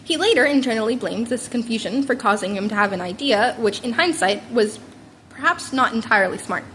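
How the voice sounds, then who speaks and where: Woman, high voice, amateur story narration, wry, stumbles and repeats, faint background noise. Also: expressive, fairly quick, a bit bright. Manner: wry, informal reading with frequent stumbles and repeats. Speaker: podfic reader narrating fan fiction. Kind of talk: scripted narration read aloud.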